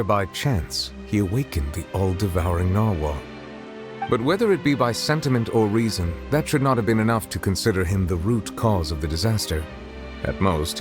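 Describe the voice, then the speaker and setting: An actor playing a dignified male dragon sovereign and judge in a video game, speaking in a formal, measured, grave manner. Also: fairly low pitch, close to an everyday voice. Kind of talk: dramatic video game voice acting. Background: music.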